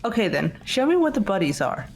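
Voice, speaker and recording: A woman's voice: mid-range voice, big pitch swings, brisk, darkish tone, soft background music. A woman voicing a composed, blunt teenage girl detective in an amateur visual novel dub, speaking in a controlled, matter-of-fact way.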